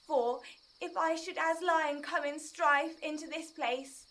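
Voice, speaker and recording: Timid joiner playing lion female actor, high and slow, careful apologetic Shakespearean recital. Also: steady tone, fairly bright tone, a little hiss or hum, heavily acted. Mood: nervous, reassuring. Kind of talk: amateur-theatre verse recital.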